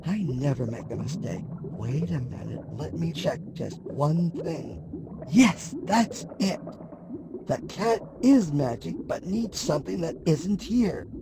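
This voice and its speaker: A man playing a vain, grumbling wicked sorceress with an imperious, deliberate manner in a fantasy audio drama. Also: fairly high pitch, animated, gravelly, heavily acted. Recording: noisy with ambient sound behind.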